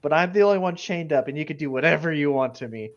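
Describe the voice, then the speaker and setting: A man's voice: fairly high pitch, fast talker, lo-fi sound, background noise, lightly acted. A man voicing a shamelessly forward, pleading demon temptress in an improvised comic skit.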